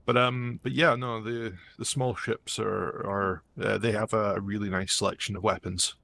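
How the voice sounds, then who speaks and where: A man's voice: fairly low pitch, steady tone, normal speed, creaky, bright, forceful tone, slight background noise. A man on voice chat who talks with lots of hesitations, casually explaining game weapons and his work. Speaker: friend on voice chat.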